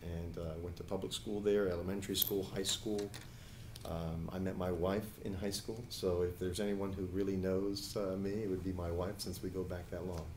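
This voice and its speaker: A man in his forties, originally from New Jersey, speaks with a fairly low voice in a measured, deliberate way, pausing often on small fillers as he gathers his thoughts. He answers interview questions about his career. His voice carries a noticeable roomy echo. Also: little pitch movement, a little mellow, slight background noise. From New Jersey, USA. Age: 40s. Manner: measured, frequent hesitation fillers. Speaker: attorney and judicial candidate. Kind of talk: editorial board interview.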